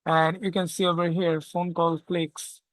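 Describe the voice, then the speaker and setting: A tutorial instructor walking through software step by step, often checking in with a short questioning tag. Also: medium pitch, level tone, unhurried, no echo, poor audio. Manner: checks understanding with frequent "okay?".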